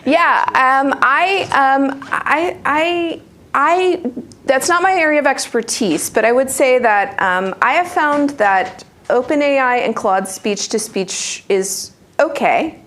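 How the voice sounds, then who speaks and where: A woman's voice: sits high, animated, slow, a bit bright. A woman speaker answering audience questions about teaching, talking in a thoughtful way with frequent restarts and fillers. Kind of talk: conversational presentation Q&A.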